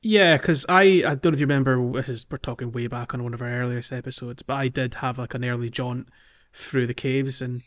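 A man's voice: medium pitch, steady tone, fairly quick, hardly any pauses, a bit bright. Man chatting on a gaming podcast, slightly dull sound. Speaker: podcast host. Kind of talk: casual video game discussion.

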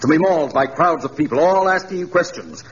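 Pompous town mayor, male actor, genteel and mannered, highish lively voice, 1940s radio comedy, faint hiss. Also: quick pace, natural voice. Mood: grandly questioning. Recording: dull vintage radio broadcast, faint hiss.

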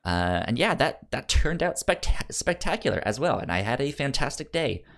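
A man's voice: medium pitch, very animated, moderate pace, vocal fry, fairly bright tone. A man hosting a podcast in casual chat, upbeat and enthusiastic, sometimes stammering over his words. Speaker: podcast host.

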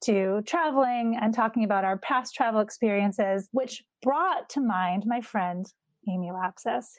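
A woman's voice: fairly high pitch, no room sound, rough recording. Heartfelt woman host, dry close sound. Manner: warm, heartfelt, slow and deliberate. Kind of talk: interview hosting.

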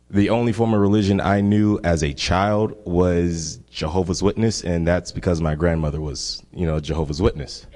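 A young man from Miami with a deep voice, sharing his personal faith testimony in an earnest, conversational way. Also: a little mellow. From Miami, Florida. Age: young adult. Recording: long hall reverb.

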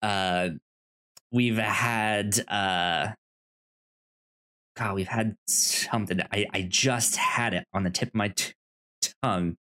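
Unhurried man hosting a casual podcast. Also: fairly low pitch, fairly even intonation, bright, forceful tone. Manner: hesitant, trailing, thinking aloud. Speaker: podcast co-host. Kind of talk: casual movie podcast chat.

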